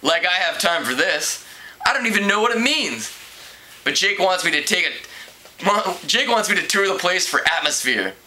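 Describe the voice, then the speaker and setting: A harried male reporter from a storybook, acted out by a man reading aloud. He is informal and put-upon, grumbling in a forceful, bright voice that sits a bit high. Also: big pitch swings, normal speed, exaggerated voice. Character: harried, overworked male newspaper reporter, casual and griping.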